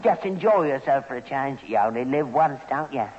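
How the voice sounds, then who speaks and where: A man's voice: mid-range voice, animated, normal speed, darkish tone, natural voice. A man acting a vain, cocky older father with a London accent, showing off in an old British radio sitcom. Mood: smug. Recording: muffled vintage radio recording, reverberant, faint hiss.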